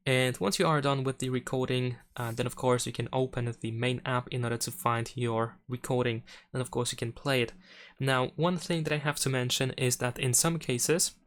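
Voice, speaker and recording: A man's voice: mid-range voice, a bit bright. Man narrating a tutorial over noticeable noise. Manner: instructional, explanatory. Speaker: tutorial presenter. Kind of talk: step-by-step phone tutorial narration.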